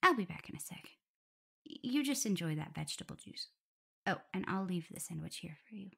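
Casting: woman to play young female omega werewolf for ASMR audio drama; caring, flustered, fussing; soft breathy delivery, lowish pitch with plenty of vocal fry. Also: lively intonation, fairly quick, full character voice. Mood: tender, caring. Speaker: audio roleplay performer. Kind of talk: intimate ASMR roleplay.

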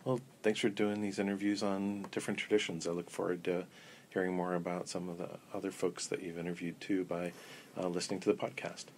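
A man answering interview questions in a measured way, pausing often with ums and uhs. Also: deep voice, flat delivery, slight background noise. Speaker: interview guest on a pagan tradition. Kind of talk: thoughtful casual interview answers.

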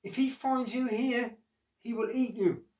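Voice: animated, a little slow, a little mellow, reverberant, far from the mic, close to an everyday voice, rough recording. A reader voicing an enormous, anxious woman who stammers when flustered. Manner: high, shrill, flustered stammer.

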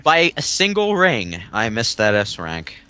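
A man's voice: on the low side, wildly swooping pitch, a little slow. A man playing a video game and commenting casually with friends, griping sarcastically and swearing freely. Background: video game music.

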